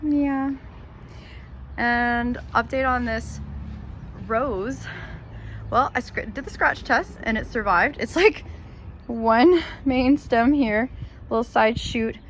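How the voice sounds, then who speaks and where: A woman's voice: a little high, slow pace, long pauses. A Canadian woman from Ontario giving a rambling, chatty walkthrough of her garden, gushing over her plants. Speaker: gardening vlogger. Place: outdoors in a home garden. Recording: outdoor noise behind voice.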